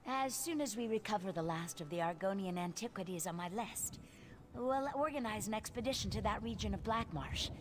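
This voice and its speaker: An actress playing a purposeful, businesslike antiquities collector in a fantasy video game. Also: female voice, medium pitch, animated, fairly quick, lightly acted. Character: purposeful female antiquities collector organising expeditions. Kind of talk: video game character dialogue.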